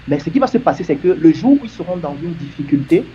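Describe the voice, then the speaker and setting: A man with a fairly high, mellow voice argues his point earnestly, stacking example on example. The sound is a bit muffled, with a faint hiss underneath. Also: very animated, quick pace. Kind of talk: argumentative discussion.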